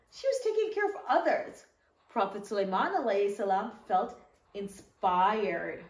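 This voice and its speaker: A woman reads a story to children in a fairly high voice that rises and falls with great animation. She breaks off for asides and puts questions to her young listeners. The room around her is noticeably echoey. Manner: animated, asks listeners questions, acts out short quotes. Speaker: children's storyteller reading her own book.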